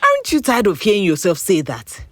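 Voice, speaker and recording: An actress with a Nigerian accent playing a frank, teasing young confidante who prods in naturalistic audio drama style. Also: female voice, mid-range voice, brisk, dry recording. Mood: blunt, prodding. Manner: expressive, frank, prodding. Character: blunt, teasing young woman, close confidante.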